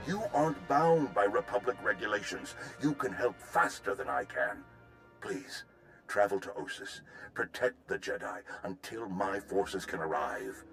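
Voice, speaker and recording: Earnest low-voiced general, expressive. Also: male voice. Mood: urgent. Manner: formal, measured. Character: earnest male Republic general pleading for help. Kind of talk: video game cutscene acting.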